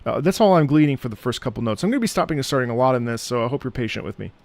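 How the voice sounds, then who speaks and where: A man who is an opera singer giving reaction commentary on game music, halting and thoughtful as he searches for words. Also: mid-range voice, expressive, creaky, darkish tone, no room sound. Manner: breaks into sung demonstrations of musical phrases.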